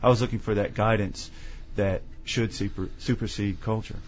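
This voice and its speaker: Low-voiced man, dull lo-fi audio. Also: steady tone, moderate pace, a little hiss or hum. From Tennessee. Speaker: author and interview guest. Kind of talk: conversational interview.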